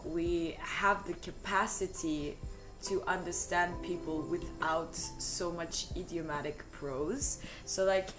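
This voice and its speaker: A woman with a fairly low voice talks through her ideas on a live stream, pausing on fillers and laughing easily. Music plays clearly in the background. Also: rough recording. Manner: thoughtful, explains with many fillers, laughs easily. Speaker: co-host of a language stream. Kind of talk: casual discussion on a live stream. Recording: music playing behind the talk.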